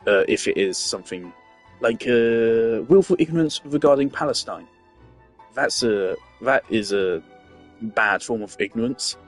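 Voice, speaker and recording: A man's voice: medium pitch, animated, unhurried. A man giving casual, rambling game commentary, musing hesitantly and trailing off. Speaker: game streamer. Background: game music.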